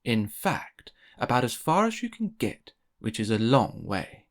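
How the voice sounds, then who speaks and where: A man reads a children's story aloud in a mid-pitched voice. His narration is expressive and very animated, with pitch that moves freely. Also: measured pace. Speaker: storybook reader.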